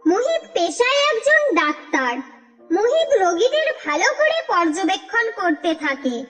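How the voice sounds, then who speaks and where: A woman narrating a cartoon folk tale in a steady, expressive storytelling manner. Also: very high-pitched, moderate pace. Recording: reverberant with music underneath.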